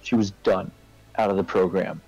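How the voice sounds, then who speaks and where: A man's voice: deep voice, moderate pace, vocal fry, darkish tone, soft background music, rough recording. A man casually explaining his point in a discussion, often checking in with a "right?" or "okay?" as he goes. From Canada. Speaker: participant in a group discussion. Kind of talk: casual debate, chat.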